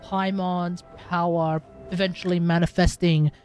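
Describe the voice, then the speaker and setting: A woman giving casual live reactions as a game streamer, musing in a halting, slangy way. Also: lowish voice, flat delivery, a little mellow. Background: game music.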